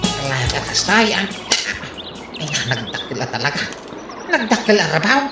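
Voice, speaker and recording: A woman's voice: sits low, sing-song, moderate pace, reverberant, loud music behind the voice. A woman with an Ilocano accent voicing a sly, wheedling husband in a radio comedy drama. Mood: gleeful muttering. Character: sly, wheedling husband who gathers bamboo shoots.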